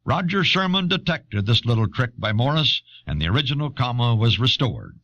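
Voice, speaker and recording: A man reading scripted historical narration in a measured, authoritative manner. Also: medium pitch, sing-song, fairly bright tone, dry recording. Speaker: documentary narrator. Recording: dull old audio cassette.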